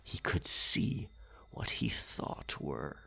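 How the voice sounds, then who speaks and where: Man voicing slow, whispery, gravelly storyteller. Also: bright, forceful tone. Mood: ominous storytelling. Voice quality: breathy, gravelly half-whisper. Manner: slow, theatrical, deliberate pauses. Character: pirate captain werecat, dominant, ominous campfire storyteller. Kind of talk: pirate roleplay ASMR, scary storytelling.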